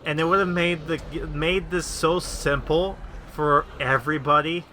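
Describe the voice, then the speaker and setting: A man's voice: on the high side, fairly even intonation, a little slow, sharp tone, faint music behind. A young man casually commenting on a mobile game, rambling with many fillers and trailing thoughts. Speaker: let's-play host.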